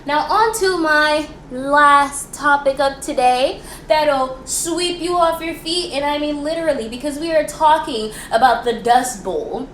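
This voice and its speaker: Young American woman, student history host. High voice, informal and playfully theatrical, echoey room with faint noise. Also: unhurried, fairly bright tone. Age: young adult. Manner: playful, theatrical, slangy, with rhetorical questions. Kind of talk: chatty, informal history presentation for a school project.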